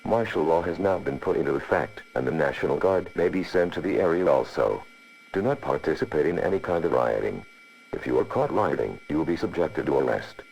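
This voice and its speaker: 男性が演じる極めて低く、がらついた声の緊急速報アナウンサー. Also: male voice, rumbling low, creaky, darkish tone, a little hiss or hum, heavily acted, low-quality recording. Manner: formal, authoritative. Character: deep-voiced male emergency alert announcer, grave. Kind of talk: scripted emergency alert bulletin.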